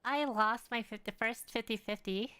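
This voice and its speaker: A young woman with a bright, crisp, fairly high voice, chatting on a game stream. Her talk is offhand and rambling, with faint music in the background. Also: normal speed, no echo. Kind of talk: casual live stream chat, answering viewers. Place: room at home.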